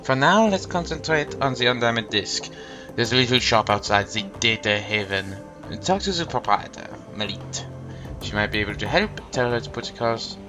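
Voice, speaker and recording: A man's voice: medium pitch, lively intonation, normal speed, close to an everyday voice. Man reading as a grave, scholarly older man. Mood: grave, thoughtful. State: stuffed-up nose. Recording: game music behind.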